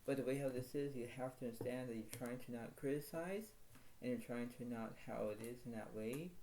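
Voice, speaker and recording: A man giving a casual tarot reading to his viewers. He speaks quietly and rambles, circling back to the same stock phrases. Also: a little fast, slight background noise. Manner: talks quietly, apologizes for his low voice. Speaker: tarot card reader.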